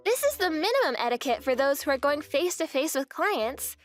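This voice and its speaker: A brisk, bossy sister-in-law, as a woman narrator puts her on for a manga dub. She lectures in a high, lively voice. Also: soft background music. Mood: lecturing.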